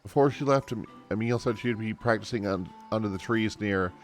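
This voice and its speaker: A man's voice: on the low side, brisk, lightly acted. A man voicing a courteous, effusive woman emissary from a fantasy game in formal, flowery speech. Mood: courteous, warm. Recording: game music behind the voice.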